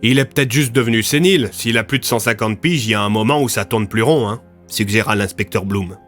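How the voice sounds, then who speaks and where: A man's voice: lowish voice, brisk, fairly bright tone, soft background music, natural voice. A man playing a blunt, questioning police detective in an audio drama. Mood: puzzled. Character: blunt male police detectives, plain-spoken.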